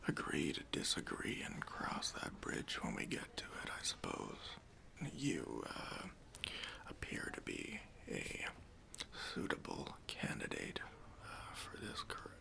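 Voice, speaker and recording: A man's voice: deep voice, pushed and bright, heavily acted. Whispering, stingy male boss played by a man. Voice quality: breathy whisper. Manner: hesitant, condescending, passive-aggressive politeness. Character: stingy, demanding male boss giving a job interview. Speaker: ASMR roleplay performer. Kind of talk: whispered ASMR roleplay. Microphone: close microphone.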